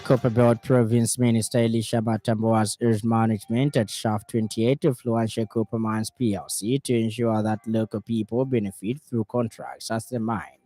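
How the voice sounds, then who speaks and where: A man reading a scripted radio news bulletin in a formal, measured way. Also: fairly low pitch, level tone, dark tone. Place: radio studio.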